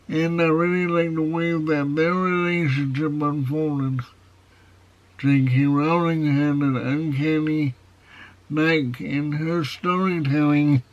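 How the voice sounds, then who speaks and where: A man with a nasal, foghorn-like voice reviewing a movie in a casual video. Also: fairly high pitch. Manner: slow, measured delivery with plain opinions. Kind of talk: casual film review to camera. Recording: dull, lo-fi sound.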